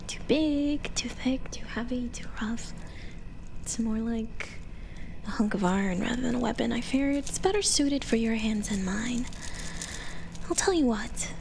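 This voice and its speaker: A woman voicing a gentle, teasing, enigmatic forest witch healer in an ASMR roleplay, murmuring with pauses in a breathy whisper. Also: a little high, a little hiss or hum. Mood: coy, bargaining. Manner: slow, gentle, pausing murmurs.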